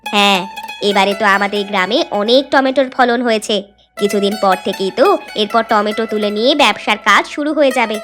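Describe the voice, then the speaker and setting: A woman puts on a motherly older mistress of a village house in a cartoon tale. She sounds familiar and coaxing, in a middling female pitch with music behind. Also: a little fast, a bit bright, natural voice. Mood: kindly, coaxing. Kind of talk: Bengali cartoon fairy-tale dialogue.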